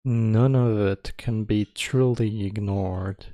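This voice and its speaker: Slow, even-toned man guiding meditation. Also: on the low side, dry recording, clean studio sound. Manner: slow, spare phrases with long pauses; calm, measured. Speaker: meditation teacher. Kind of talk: guided meditation.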